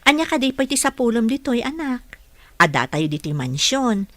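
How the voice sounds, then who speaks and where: An actress playing a gentle, patient mother and nurse in a radio drama, speaking soothingly. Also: a little high, sing-song, moderate pace, no echo. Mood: tender, concerned.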